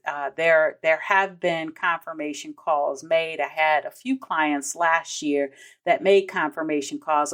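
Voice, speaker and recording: A woman's voice: low voice, fairly bright tone. An American woman hosting an instructional podcast, explaining in a conversational way with emphasis on key points and casual asides. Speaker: podcast host, vendor payments trainer.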